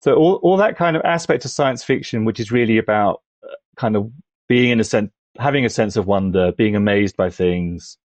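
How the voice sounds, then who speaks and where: A man talking as a podcast panellist, thoughtful and halting, building his points gradually in conversation. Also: lowish voice, no room sound. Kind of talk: conversational film discussion.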